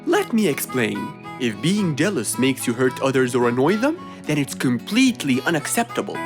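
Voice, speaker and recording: A woman's voice: very deep voice, sing-song, normal speed, music in the background. A woman voicing a patient, wise cartoon fox who tells stories and explains lessons to children. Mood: patient, explaining. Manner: patient, instructive.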